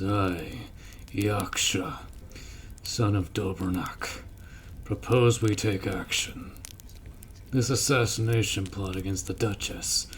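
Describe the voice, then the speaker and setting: A stern orc warrior acted by a man speaks in a very low voice at a slow, weighty pace. He is formal and commanding, an honorable protector from a fantasy audio drama. Some ambient noise sits behind him. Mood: solemn, resolute. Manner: formal, commanding, measured delivery. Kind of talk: scripted fantasy audio roleplay acting.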